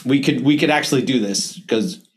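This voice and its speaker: A man's voice: mid-range voice, normal speed. A man hosting a casual interview, often breaking off and restarting his sentences. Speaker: podcast host.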